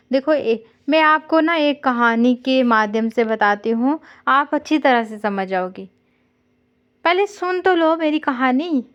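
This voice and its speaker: A woman chatting casually on a call, consoling and gently scolding as she tells a simple story in a conversational way. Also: sits high. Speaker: caller consoling an older sister figure. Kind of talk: casual phone chat with storytelling. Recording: dull lo-fi call recording.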